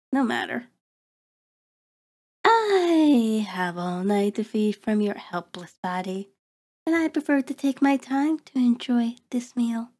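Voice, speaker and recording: A woman's voice: fairly high pitch, darkish tone. A woman playing a cruel, predatory vampire in an ASMR horror roleplay, taunting and haughty, savoring words in formal diction. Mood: amused, condescending. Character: cruel, predatory female vampire toying with her captive.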